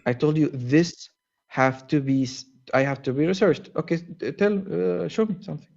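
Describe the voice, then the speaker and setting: A man with an Arabic accent, a native Arabic speaker, arguing as a caller in halting, repetitive, defensive English over lo-fi call audio. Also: on the high side, creaky, darkish tone. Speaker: caller in a debate. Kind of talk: argumentative live debate.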